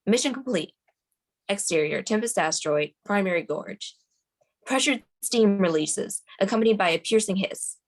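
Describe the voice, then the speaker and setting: A woman narrates a screenplay at a table read. Her voice sits at a middle pitch as she reads out scene headings and action in a scripted narrating style. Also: expressive, normal speed. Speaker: stage directions reader at a screenplay table read.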